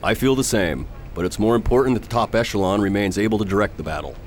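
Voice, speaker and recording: A man playing a grave, authoritative galactic council leader in a science fiction radio drama, deliberate and formal in his commands. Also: lowish voice, slight background noise, lightly acted. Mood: concerned, resolute.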